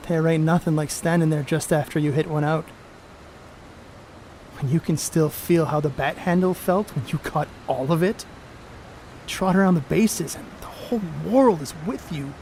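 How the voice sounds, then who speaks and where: A man's voice: a little high, darkish tone, a little hiss or hum, lightly acted. A man on stage playing a brash, cocky 1930s baseball star who blusters in folksy, profane slang. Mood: wistful. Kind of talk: amateur stage play acting.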